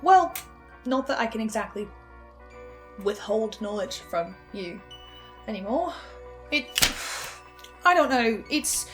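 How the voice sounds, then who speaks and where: A high-pitched voice for a woman acting a brooding, defiant female researcher. She speaks in a dramatic, halting monologue, tripping over words and pausing with intensity. Faint music plays behind her. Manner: halting, stammering, intense, with pauses. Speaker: host reviewing a fiction podcast in character.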